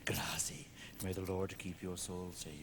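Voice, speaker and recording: An actor playing a humble, pious old Venetian gondolier in an audio drama, deferential and effusive. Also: male voice, deep voice, little pitch movement, a little slow, gravelly. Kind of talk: radio drama character dialogue. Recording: noisy waterside boat sounds behind.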